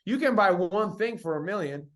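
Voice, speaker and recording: A man's voice: sits high, lively intonation, moderate pace. A young man from Spain chatting casually as an interview guest, telling personal stories in slangy, sometimes profane language. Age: young adult. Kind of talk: casual chat, personal storytelling.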